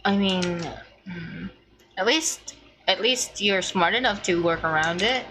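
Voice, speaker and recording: A woman's voice: mid-range voice, unhurried, rough recording. A woman streaming a game, reacting casually in short, hesitant remarks.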